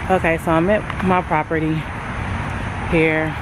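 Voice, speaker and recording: A middle-aged woman chatting casually in an unhurried drawl as she vlogs from inside a car. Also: low voice, level tone, over loud music, low-quality recording. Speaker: vlogger. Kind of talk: casual chat while driving.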